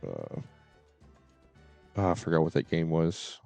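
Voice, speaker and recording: A man's voice: very deep voice, big pitch swings, unhurried, vocal fry, warm tone, dry recording, soft background music. A man streaming games and chatting casually in a hesitant, rambling way. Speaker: game streamer. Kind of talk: casual livestream chat.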